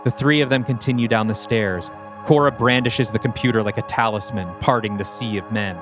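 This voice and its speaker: A man's voice: medium pitch, steady tone, fairly quick. A man reading scripted, screenplay-style narration for an audio play. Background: background music.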